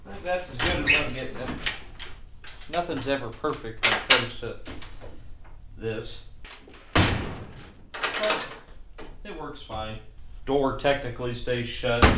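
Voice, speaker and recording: A roomy, slightly distant recording of a man in a garage, with clear noise behind him. He narrates hands-on repair work casually, with a folksy, self-deprecating dry humor. His voice sits at an average male pitch. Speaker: DIY car repair video host. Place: garage workshop. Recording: echoey, distant mic, clearly noisy.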